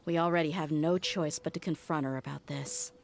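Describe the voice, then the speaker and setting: A woman's voice: low-pitched, fairly even intonation, a little fast, gravelly. A woman playing a loyal, frank mercenary in a video game, with a firm and resolute manner. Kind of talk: video game character acting.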